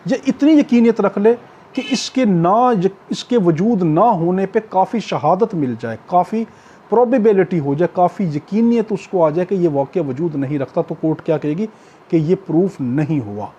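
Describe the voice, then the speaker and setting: A man with a Pakistani accent giving an explanatory law lecture, going step by step, repeating points, checking in often and slowing down to read clauses in English. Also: high-pitched, lively intonation, warm tone, slightly rough, dull audio. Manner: repetitive step-by-step explaining with frequent check-ins; switches into slow English when reading out clauses. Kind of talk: explanatory exam-prep lecture, reading clauses and glossing them.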